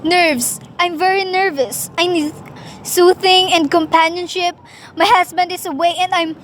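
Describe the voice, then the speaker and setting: A woman's voice: very high-pitched, steady tone, measured pace, slight background noise. A woman acting in a radio play as a nervous, demanding invalid wife, alone and frightened, speaking with urgency. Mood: agitated, demanding. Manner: nervous, imperious, breathless urgency.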